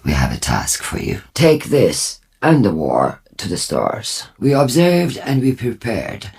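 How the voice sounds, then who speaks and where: A prophetic leader of a futuristic war cult in a video game. The voice is low and husky, swinging widely in pitch. The delivery is formal, deliberate and full of portent. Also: male voice, huge pitch swings, a little mellow, heavily acted. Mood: solemn. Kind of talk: scripted video game character dialogue.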